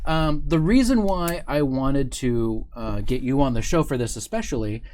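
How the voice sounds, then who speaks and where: A man's voice: medium pitch, lively intonation, moderate pace, a little mellow. A man hosting a casual livestream, chatting with viewers in an upbeat, informal way. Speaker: host of a company livestream show. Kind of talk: casual live chat with viewers.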